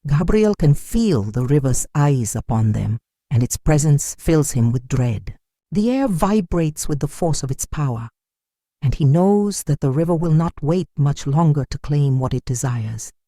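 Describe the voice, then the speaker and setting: Folktale narrator, mid-pitched and mellow, solemn dramatic read, very dry recording. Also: lively intonation, unhurried, no echo. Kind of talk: dramatic scripted storytelling narration.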